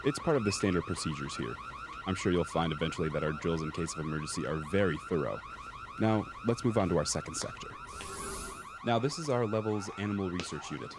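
A man playing a calm, imperious senior scientist in an audio drama, speaking formally and dismissively. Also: deep voice, fast, noisy background. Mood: formal, composed. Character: calm, imperious senior male scientist running secret labs. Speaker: doctor, lab director.

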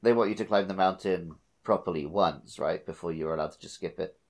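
A man's voice: deep voice, brisk, darkish tone. A man co-hosting a podcast, talking casually and conversationally about playing through a video game. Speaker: podcast co-host.

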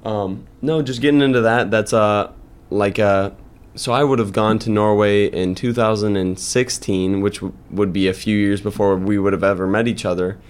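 A man's voice: lowish voice, fairly even intonation, moderate pace, hardly any pauses, clean tone. A young man co-hosting a casual podcast, telling stories in a rambling way with many fillers. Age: young adult. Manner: rambling storyteller, filler-heavy. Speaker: podcast co-host. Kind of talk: casual chat.

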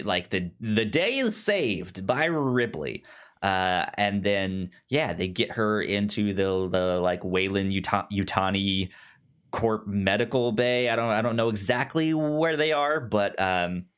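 A man's voice: on the low side, a bit bright, smooth. A man co-hosting a podcast, chatting casually and often stammering over repeated words. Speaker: podcast co-host. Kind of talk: casual film podcast chat.

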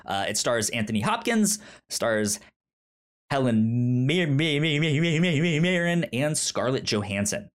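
A man's voice: medium pitch, very animated, a little slow. Stuttering man on a casual podcast. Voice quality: stutters, repeating syllables and words. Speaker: podcast co-host. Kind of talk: casual review podcast chat.

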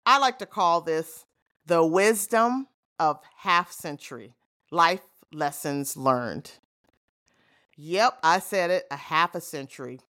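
Low-voiced reflective woman in her fifties. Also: animated, takes it slowly. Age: 50s. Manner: warm, reflective storytelling with frequent "you know" asides. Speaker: podcast host. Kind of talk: reflective solo monologue.

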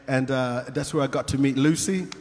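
A man's voice: mid-range voice, normal speed, darkish tone. Zimbabwean man talking in a reverberant space. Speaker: interview guest, worship team musician. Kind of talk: casual personal interview. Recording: long reverberant room echo.

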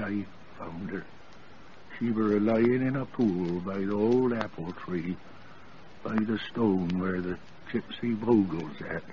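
An actor playing an old rustic farmhand, telling a sad local tale in plain country speech, in an old radio play. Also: male voice, on the low side, close to an everyday voice. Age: older. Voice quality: rural dialect grammar, slow folksy telling. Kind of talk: 1940s radio acting. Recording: dull old radio broadcast with hiss.

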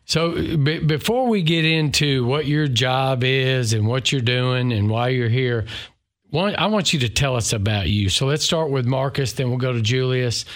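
A man's voice: medium pitch, normal speed. A man co-hosting a podcast, upbeat and asking guests questions in casual chat. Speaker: podcast co-host.

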